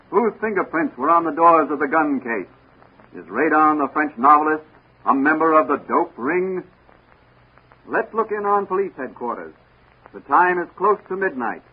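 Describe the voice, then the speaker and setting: A man's voice: fairly high pitch, slightly rough. A man playing the dramatic, suspenseful narrator of a 1940s radio crime serial, with an American accent. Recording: scratchy, narrow-band 1940 radio recording.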